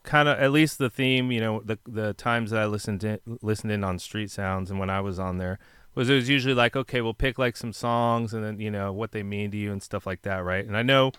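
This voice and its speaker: A man's voice: on the low side, a little fast, a bit bright, clean tone, no room sound, clean studio sound. A man, a punk musician, chatting casually and asking questions in a laid-back, filler-heavy way. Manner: laid-back, lots of fillers, frequent yeahs. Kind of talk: casual podcast chat.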